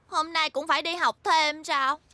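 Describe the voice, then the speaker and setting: A woman's voice: high-pitched, fairly even intonation, normal speed, bright, forceful tone. A woman voicing a cheeky, spirited little schoolgirl who loves to play, in a children's cartoon dub. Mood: concerned, earnest.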